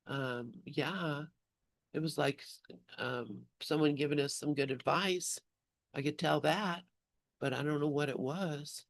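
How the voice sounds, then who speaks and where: A speaker chats casually with a group in a halting, tentative manner. The delivery is very slow and the sound is dry, without room echo. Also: mid-range voice.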